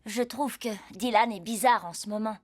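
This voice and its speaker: A woman's voice: a little high, moderate pace, lightly acted. A woman voicing an earnest, suspicious child in a cartoon. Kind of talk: animated cartoon dub.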